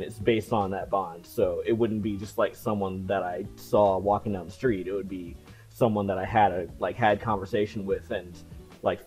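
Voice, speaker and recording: A person in their late twenties talks casually to camera with a somewhat low voice, easygoing and padded with fillers and quiet laughs. The voice comes through a headset mic in a small cluttered room. Soft music plays faintly underneath. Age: late 20s. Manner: chatty, relaxed, many fillers and soft laughs. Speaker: video creator. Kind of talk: casual talking-head vlog.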